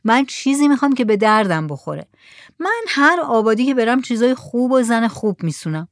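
A woman's voice: on the high side, very animated, dry recording, clean studio sound, pitched above the performer's own voice. A woman reading the lines of a demanding, dismissive male mullah in a story. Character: pushy bargaining male mullah.

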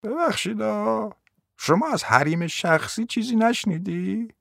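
A man's voice: high voice, very animated, creaky, no echo, studio quality, voice pushed up, heavily acted. A man voicing a brash, sarcastic, defiant old grandmother in a storybook, with a scolding, grumbling manner. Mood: mock-polite, sarcastic. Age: older.

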